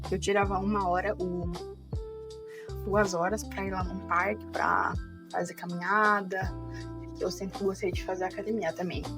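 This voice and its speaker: A young woman with a foreign accent tells personal stories in a relaxed vlog voice, her pitch leaping high whenever she acts out her own words. Soft music plays faintly behind her. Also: normal speed. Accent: foreign, learned Portuguese as adult. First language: not Portuguese. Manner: animated, jumps high when quoting herself. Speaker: vlogger. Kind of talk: casual storytelling. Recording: light music underneath.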